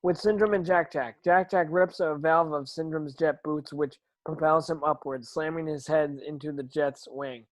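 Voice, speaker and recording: Higher-voiced man, halting script narrator. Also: fairly even intonation, no room sound, low-quality recording. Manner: halting, stumbling over words. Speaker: stage directions reader. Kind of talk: scripted narration at amateur table read.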